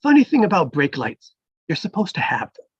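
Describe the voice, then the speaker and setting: A man reading the part of a friendly, awkwardly flirty traffic cop in a live table read, halting and earnest with dry jokes. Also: on the high side, huge pitch swings, breathy edge, dry recording, highs cut off, full character voice. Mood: dry, official.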